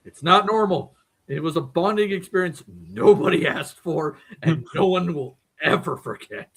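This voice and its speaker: A man's voice: on the high side, animated, moderate pace, breathy edge. A man who works as a voice actor, chatting casually as a podcast guest and laughing often. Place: home streaming setup.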